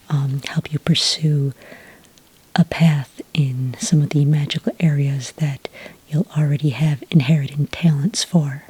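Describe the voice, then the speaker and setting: Very breathy, whispery woman, slow and soft. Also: low voice, no echo, rough recording. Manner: gentle, slow, hesitant official patter. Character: polite, patient enrollment witch at a magical academy. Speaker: ASMR roleplay performer. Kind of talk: soft-spoken ASMR roleplay. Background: computer keyboard typing.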